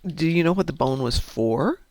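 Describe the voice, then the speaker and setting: A man's voice: fairly high pitch, normal speed. A man from Chicago hosting a livestream, chatting casually while playing a game, a little stuffy from a cold. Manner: chatty, animated, reads viewer comments aloud. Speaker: stream host.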